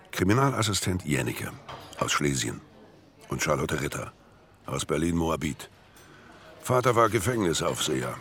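An actor playing a world-weary, dryly ironic narrator in a Berlin crime radio drama. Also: male voice, low-pitched, vocal fry, a bit bright, heavily acted. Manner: dry, ironic.

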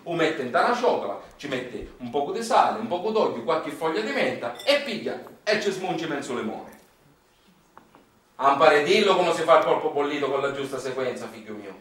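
A man's voice: fairly high pitch, fairly bright tone. An actor playing a folksy, garrulous Sicilian man in a theatrical monologue, with a colloquial, repetitive and emphatic way of talking and a Sicilian accent. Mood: instructive. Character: folksy, garrulous Sicilian man explaining recipes to his son. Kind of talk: theatrical storytelling monologue in dialect-laced colloquial speech. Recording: roomy, distant stage recording with faint noise.

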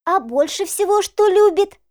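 A woman's voice: extremely high pitch, animated, moderate pace, mellow. A woman playing a curious, eager little granddaughter in a children's audio play, talking in a childlike, inquisitive way.

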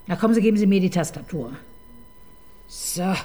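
An actress playing a resolute female police inspector in a radio crime drama, giving commands briskly and often whispering. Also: on the low side, mellow, close to an everyday voice. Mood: urgent. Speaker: police detective.

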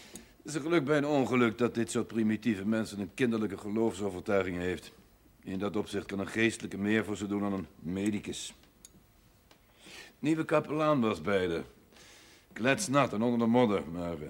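A man's voice: on the low side, animated, normal speed. A man acting a brusque village doctor in a period television drama, articulate, condescending and dryly witty. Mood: sardonic, matter-of-fact. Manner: dry, sardonic, articulate.

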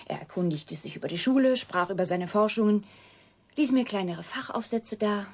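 An actress playing a reclusive, wounded young woman scientist in a radio drama, guarded yet confiding, speaking breathily and deliberately, often sinking to a whisper. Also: mid-range voice, animated, vocal fry, a little mellow. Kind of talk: German radio drama acting.

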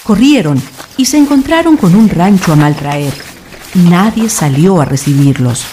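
Lively low-voiced woman storyteller. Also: unhurried, a little hiss or hum. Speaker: narrator. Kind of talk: scripted storytelling narration.

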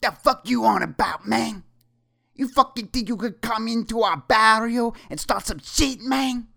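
A high, snarling gang leader. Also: male voice, measured pace, vocal fry, pushed and bright, heavily acted. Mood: angry. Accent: put-on Mexican Spanish accent. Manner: swearing, threatening, taunting. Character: menacing male street gang leader, hot-tempered and mocking.

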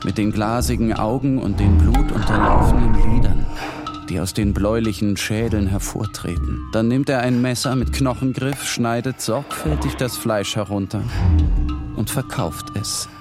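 An actor playing a tormented, morbid man who narrates a bitter literary monologue in a brooding, deliberate way. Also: fairly low pitch, close to an everyday voice. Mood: grim disgust. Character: tormented, morbid male narrator confessing bitterly to his shadow. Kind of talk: literary audio drama monologue. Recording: music behind the voice.

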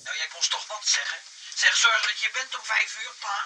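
Guy playing a smooth talking young con man in an old radio comedy, highish voice, folksy and hesitant, lots of hiss. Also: quick pace, lightly acted. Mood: conspiratorial, hurried. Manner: chummy, folksy, hesitant sales patter. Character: smooth-talking young male swindler posing as a famous entertainer. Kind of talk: comedy radio play acting. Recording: noisy old radio play recording, clicks.

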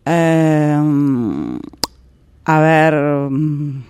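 A woman's voice: deep voice, very animated, extremely slow, darkish tone, a little hiss or hum, heavily acted. A woman playing a hesitant, noncommittal character in an improvised radio theatre exercise. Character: hesitant, evasive woman asking for a loan.

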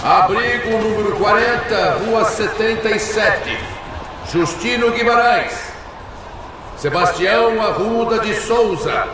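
A man playing a stern, formal rescue leader calling out names in a radio drama. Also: slow. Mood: solemn, official. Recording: booming echoey hall, distant, noisy.

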